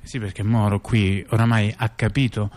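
A man acting as a chatty intellectual, telling scandals in conversational stage monologue, halting and repeating himself with frequent tag questions. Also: lowish voice, little pitch movement, darkish tone, natural voice. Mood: explanatory, ironic. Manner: halting, repetitive, full of rhetorical tag questions. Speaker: stage actor-narrator. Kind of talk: conversational monologue theatre, addressing a listener.

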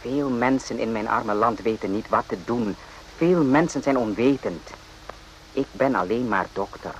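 An actor playing a weary, evasive doctor in a radio play, speaking clipped, broken sentences. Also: male voice, medium pitch, unhurried, highs cut off, close to an everyday voice. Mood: resigned. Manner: clipped broken speech, dropping articles and verbs. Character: weary, evasive male Vietnamese army-hospital doctor, stoic. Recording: noisy old radio play recording.

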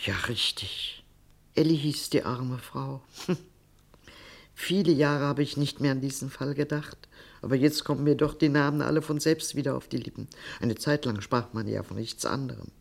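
A woman's voice: very deep voice, vocal fry, exaggerated voice. An actress playing an elderly, fond German grandmother in a radio play, musing and hesitant as she recalls the past. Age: older. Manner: hesitant, musing, trailing into recollection.

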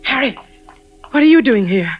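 An actress playing an evasive, outwardly composed wife in a 1940s radio drama. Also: female voice, a little high, animated, moderate pace, faint music behind. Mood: defensive. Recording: dull, crackly 1940s radio recording.

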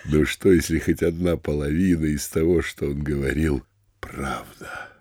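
An actor playing a blustering, frightened middle-aged provincial mayor in a classic comedy audio drama, grumbling and exclaiming theatrically. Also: male voice, fairly even intonation, moderate pace, darkish tone, no room sound, studio quality. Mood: worried musing. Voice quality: gravelly, husky bass.

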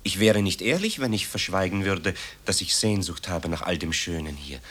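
A restless grown son in a radio drama, low voice that moves around a lot, guarded and placating. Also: male voice, lively intonation, normal speed, fairly bright tone, husky, full character voice. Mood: placating, wry. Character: restless, evasive composer son, politely placating his mother. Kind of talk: German radio play acting.

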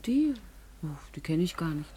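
A woman's voice: fairly low pitch, sing-song, normal speed, dark tone. An actress playing a poor, anxious young working-class woman in a radio play, hesitant and guarded in her speech. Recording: clear background noise.

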